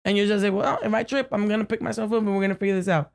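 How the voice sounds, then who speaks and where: A woman co-hosting a podcast with a deep voice, talking earnestly to a friend and often restarting her sentences. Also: fairly even intonation, vocal fry. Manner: earnest, halting with many restarts. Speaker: podcast co-host. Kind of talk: casual heartfelt chat. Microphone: podcast microphone, no camera.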